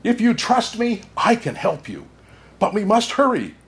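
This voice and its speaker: A man's voice: high voice, wildly swooping pitch, a little slow, voice pushed up, full character voice. A man voicing a tiny, helpful red bird in a children's storybook, singsong and encouraging. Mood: eager, reassuring.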